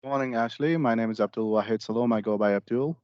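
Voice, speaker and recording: A man introducing himself to a class in a brisk, matter-of-fact way. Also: medium pitch, darkish tone, dry recording. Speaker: student. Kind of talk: self-introduction. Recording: dry, dull video call audio.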